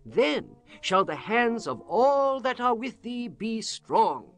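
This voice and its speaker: An actor playing a scheming, ruthless royal counsellor with deliberate, declamatory delivery in a dramatized scripture audiobook. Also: male voice, very high voice, sing-song, fairly bright tone, faint music behind, exaggerated voice.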